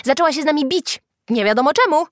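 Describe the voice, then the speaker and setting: Mocking young schoolboy, voiced by a woman; storybook bully, whiny, high-pitched. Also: lively intonation, normal speed, fairly bright tone, no room sound, studio quality. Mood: accusing.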